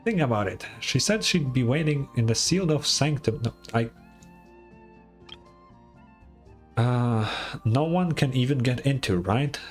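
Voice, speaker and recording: An overconfident schemer from a fantasy game, played by a man reading aloud. The voice sits at a middling pitch with music behind it, breezy and grandiose, forever selling a flawless plan. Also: expressive, moderate pace, lightly acted. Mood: scheming, proud. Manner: grandiose, breezy, self-assured. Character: boastful, overconfident schemer, self-styled moon-goddess devotee and inventor.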